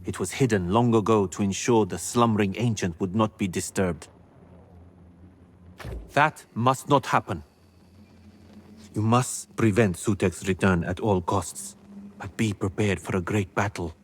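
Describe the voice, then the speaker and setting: A man's voice: fairly low pitch, measured pace, long pauses, slight background noise, close to an everyday voice. A man voicing a formal, old-fashioned male keeper of ancient secrets in a video game cutscene. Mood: grave, earnest. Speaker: game character.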